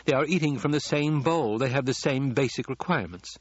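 A man's voice: medium pitch, a little fast, no room sound, lo-fi sound, pitched above the performer's own voice, close to an everyday voice. A man voicing a precocious young nobleman, formal and challenging with a brittle edge, in an audiobook. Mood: brittle, assured. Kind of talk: audiobook character dialogue.

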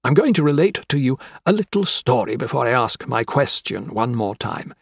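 A man voicing an older gentleman villain in an audiobook, with florid, mock-courteous, taunting speech. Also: medium pitch, big pitch swings, normal speed, vocal fry, breathy edge, no echo, studio quality, full character voice. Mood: cold threat. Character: menacing, theatrical old gentleman crime boss, mocking and sentimental. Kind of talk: dramatic audiobook character acting.

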